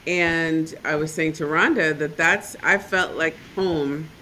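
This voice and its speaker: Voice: medium pitch, slow, bright, forceful tone, a little hiss or hum, rough recording. A podcast co-host chatting casually and enthusiastically, rambling and restarting as thoughts come. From family from Trinidad and Tobago and Costa Rica. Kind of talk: casual interview chat.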